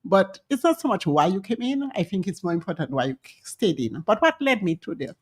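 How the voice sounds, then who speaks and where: A Dominican woman in her sixties, a longtime nurse, talking with warmth and chatty asides as a guest on a radio interview at a studio microphone. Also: medium pitch, big pitch swings, brisk, breathy edge. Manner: warm, chatty, with laughter and asides. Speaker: nurse and home health provider, guest.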